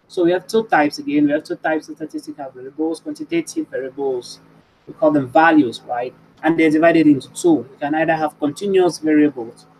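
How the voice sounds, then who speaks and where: A man's voice: a little high, gravelly, a little mellow, a little hiss or hum, low-quality recording. A man presenting a webinar lesson, explaining points and often checking in with "right?".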